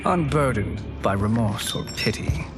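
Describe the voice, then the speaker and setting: An actor playing a scheming sorcerer in a video game, speaking formally and persuasively in measured phrases. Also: male voice, mid-range voice, animated, lightly acted. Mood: grandly persuasive. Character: smooth scheming male sorcerer, a persuasive tempter. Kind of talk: video game cutscene acting. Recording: loud music under the voice.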